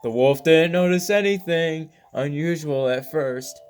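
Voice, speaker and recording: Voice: medium pitch, unhurried, a little mellow, soft background music. A gaming video host reading a game's story text aloud, often stumbling over words and rereading them, with casual reactions.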